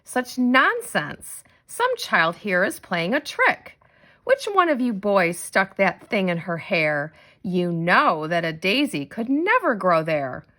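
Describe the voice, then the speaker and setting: A stern veteran schoolmistress from a children's storybook, as a woman reader puts her on. She sounds exasperated and scolding. Her pitch is very animated and her delivery slow and emphatic. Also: exaggerated voice. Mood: indignant.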